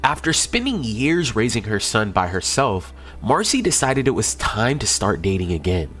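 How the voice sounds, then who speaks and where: Male TV narrator with an animated, varied delivery, reading a dramatic script over faint music. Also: fairly low pitch, moderate pace.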